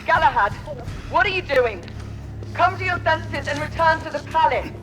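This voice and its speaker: A woman's voice: sits high, animated, moderate pace, fairly bright tone, faint music behind, rough recording. An actress playing an aristocratic woman knight in a cinematic video game, commanding and urgent. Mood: alarmed, commanding.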